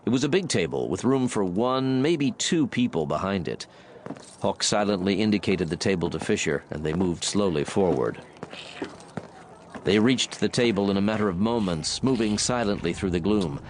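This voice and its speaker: Male narrator, low register, scripted dramatic audiobook storytelling, audible ambience behind. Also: animated, moderate pace, a little hiss or hum.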